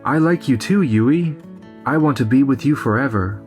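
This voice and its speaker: A man's voice: mid-range voice, lively intonation, normal speed, darkish tone, soft background music, close to an everyday voice. A man playing a modest, earnest young car designer in a manga dub. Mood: tender. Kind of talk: anime-style manga dub acting.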